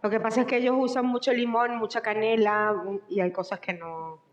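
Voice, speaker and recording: A woman's voice: on the high side, fairly even intonation, fairly quick. A middle-aged woman with a Venezuelan accent answering questions informally at a cooking workshop. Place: large echoey indoor room. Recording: echoey hall, muffled sound.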